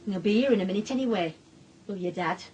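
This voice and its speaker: A woman's voice: medium pitch, fast talker, a little mellow, slightly rough, close to an everyday voice, poor audio. An actress playing a suspicious, protective working-class mother in a radio drama, heard off-mic. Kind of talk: naturalistic radio drama dialogue.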